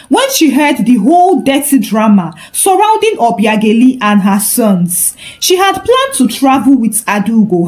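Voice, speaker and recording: High-pitched, animated woman storyteller. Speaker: storyteller and channel host. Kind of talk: scripted folktale narration.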